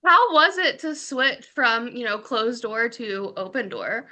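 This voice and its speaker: A woman's voice: a little high, lively intonation, a little slow, pushed and bright. A woman hosting a casual podcast chat, upbeat and playfully teasing. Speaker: podcast host.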